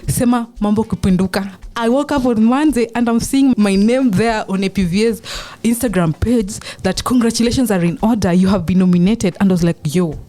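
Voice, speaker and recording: A young Kenyan woman in her twenties with a loud, big voice, hosting her own podcast in bubbly casual chat and mixing in Swahili slang. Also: mid-range voice, fairly quick. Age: 20s. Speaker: podcast host.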